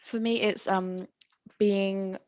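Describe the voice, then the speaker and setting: Young student lady answering casually, pretty flat tone, dry close sound. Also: medium pitch, slow pace, dry recording, highs cut off. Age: young adult. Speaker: student guest panellist.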